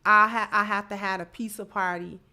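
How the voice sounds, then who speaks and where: A woman's voice: medium pitch, steady tone, a little fast, sharp tone, clear, resonant tone. A woman hosting a livestream, chatting casually and giving commentary to her supporters. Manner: effusive in thanking supporters. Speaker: livestream host.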